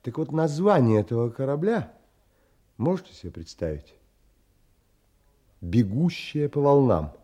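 Earnest, animated young gentleman, husky. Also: mid-range voice, a little slow, pauses often. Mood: earnest, urgent. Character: earnest, determined young gentleman seeking sea passage. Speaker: actor narrating and playing the lead. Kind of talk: dramatised audiobook acting.

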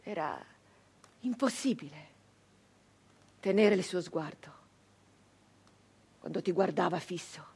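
An actress playing an embittered, wounded brigand woman of the nineteenth century in a theatrical monologue, speaking in halting, emphatic short phrases with a husky voice. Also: lowish voice, expressive, a little mellow, exaggerated voice. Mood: haunted, hushed. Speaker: stage actress.

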